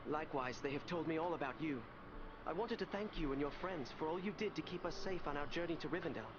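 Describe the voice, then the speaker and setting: Man playing an earnest young hero, higher-voiced. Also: fast talker, highs cut off, noisy background, close to an everyday voice. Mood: earnest, friendly. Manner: earnest, formal, heartfelt. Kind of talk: video game cutscene acting. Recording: loud music behind the voice.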